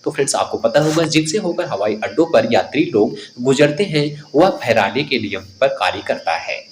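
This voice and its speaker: A man's voice: medium pitch, lively intonation, a little fast, slight background noise. A man giving an instructive exam coaching lesson, reading out questions and explaining the answers directly to students.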